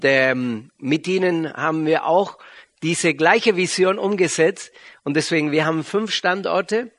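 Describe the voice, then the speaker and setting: A middle-aged male pastor from Argentina addresses a congregation with an Argentine accent, Spanish being his first language. His voice sits a little higher than most men's. He speaks haltingly, often searching for the next word. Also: fairly bright tone. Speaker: visiting pastor and regional church leader. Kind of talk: sermon introduction to a congregation.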